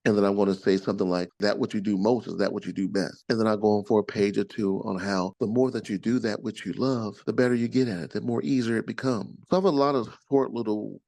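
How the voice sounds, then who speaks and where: A man's voice: on the low side, steady tone, fast talker, creaky, hardly any pauses, a little mellow, dry recording. A middle-aged man with a rich, soothing voice, talking expansively about spiritual self-help as an interview guest. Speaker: author and podcast guest. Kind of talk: casual interview chat.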